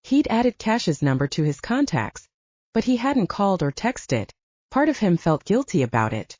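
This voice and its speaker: A woman's voice: mid-range voice, very animated, normal speed, a little mellow, no echo. A woman reading scripted fiction expressively as an audiobook narrator, in a dull, muffled recording.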